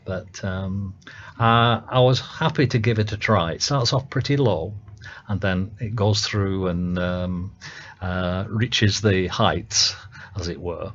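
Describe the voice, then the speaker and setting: A Northern English man talks casually about his music in a low voice. He hesitates often and restarts his sentences. The sound is dull and lo-fi, like a local radio broadcast. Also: takes it slowly. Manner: hesitant, many ums and restarts. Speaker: songwriter guest.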